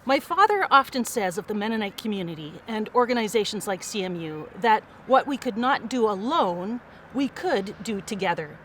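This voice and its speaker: A woman's voice: mid-range voice, lively intonation, moderate pace, few pauses, fairly bright tone, a little hiss or hum. Earnest woman making a donation appeal. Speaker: fundraising speaker. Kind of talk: prepared speech.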